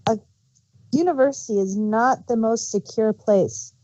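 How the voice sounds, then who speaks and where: A woman's voice: fairly high pitch, unhurried, dark tone, no room sound. A woman talking casually as co-host of a review podcast, in an informal discussion. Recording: dull, dry lo-fi microphone.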